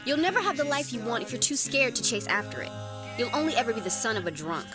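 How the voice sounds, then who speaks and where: A woman playing a hurt, bitter young woman in an animated drama. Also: a little high, quick pace, close to an everyday voice. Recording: music behind the voice.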